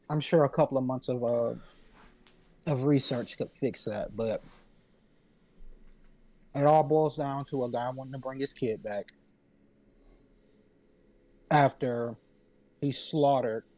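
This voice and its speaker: A man's voice: mid-range voice, warm tone, no echo, poor audio. A man chatting casually as a panelist, giving his opinion informally. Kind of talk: casual chat.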